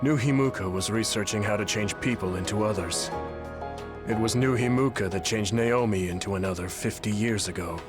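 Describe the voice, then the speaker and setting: An actor playing a weary, remorseful elderly leader in a video game, speaking in a measured, grave way. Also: male voice, lowish voice, lightly acted. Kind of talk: dramatic video game voice acting. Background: music.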